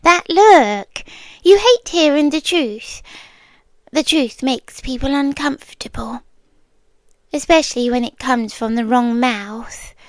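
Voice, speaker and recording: A British woman playing a possessive, menacing yandere girlfriend in an ASMR roleplay, soft-spoken and deliberate, often slipping into whispers, sweetly condescending. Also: sits high, expressive, no room sound. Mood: knowing, menacing. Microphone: close, dry microphone.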